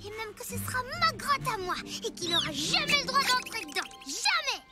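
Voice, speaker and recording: A very high, childlike voice for a stubborn little prehistoric cartoon girl. She sounds pouty and defiant, like a sulking kid who taunts. Loud music plays behind her. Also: big pitch swings, moderate pace. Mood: sulky, vengeful. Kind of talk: animated cartoon dialogue in French.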